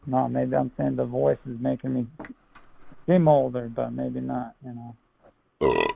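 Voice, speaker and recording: A man's voice: mid-range voice, slow, vocal fry, slightly rough. A young man chatting casually over a phone line, guarded and noncommittal but easygoing. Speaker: person on the other end of a call. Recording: tinny, narrow phone line.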